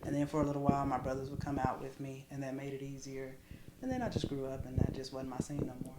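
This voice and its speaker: An American woman in candid casual conversation, speaking in an emphatic, expressive, colloquial way. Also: rumbling low, quick pace, a loud crowd. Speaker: podcast conversation partner. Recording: roomy echo with clear background noise.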